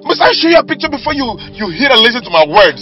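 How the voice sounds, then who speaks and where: A man's voice: mid-range voice, lively intonation, fairly quick, close to an everyday voice. A man playing an exasperated scammer husband in a film drama, emphatic and stammering over repeated words. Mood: exasperated, furious. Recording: muffled lo-fi audio with faint music.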